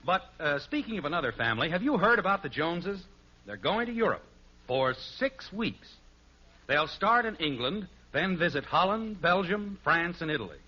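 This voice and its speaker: A man's voice: on the high side, sing-song, normal speed, muffled recording. A male American announcer reading scripted narration in the style of 1950s radio. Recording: band-limited old radio recording. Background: music.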